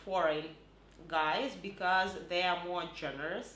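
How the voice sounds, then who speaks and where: A woman's voice: lowish voice, a little slow, fairly bright tone, echoey room, a little hiss or hum. A woman from Ukraine with a Ukrainian accent, answering interview questions in non-native English, laughing easily and often saying "you know". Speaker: interview guest. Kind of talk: casual conversation.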